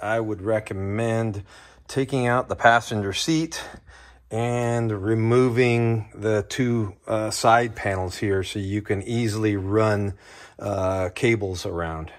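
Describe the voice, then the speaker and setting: A man with a fairly low voice presents a hands-on how-to in a casual, off-the-cuff style. He explains each step as he works, with a halting, hesitant flow. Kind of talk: casual off-the-cuff installation walkthrough.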